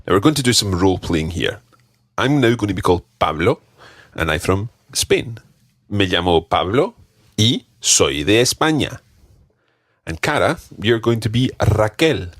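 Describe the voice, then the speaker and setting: A man teaching on a podcast. His voice sits low but swings widely in pitch as he coaches, and he takes his time, speaking at an unhurried pace. The recording is dry and close, with no room sound. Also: big pitch swings, no echo. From Scotland. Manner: patient, slow model pronunciation with repeated drills. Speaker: language teacher. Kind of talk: teaching a podcast language lesson.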